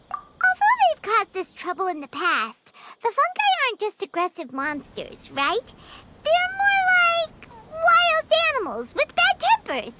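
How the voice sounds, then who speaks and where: An actress playing a small, excitable childlike fairy companion in a video game, chatty and eager, with a squeaky voice. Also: female voice, heavy background noise, full character voice. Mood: flustered. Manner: bubbly, refers to herself by name. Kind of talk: animated video game voice acting. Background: music.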